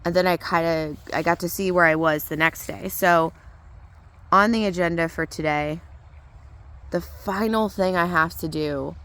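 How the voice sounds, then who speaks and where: A young woman with a hoarse, fading voice, chatting casually into her phone while out on a walk, relaxed and rambling as she thinks aloud. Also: fairly low pitch, measured pace. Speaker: mindset coach vlogger. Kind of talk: casual vlog chat. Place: outdoors on a walk. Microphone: phone held in hand.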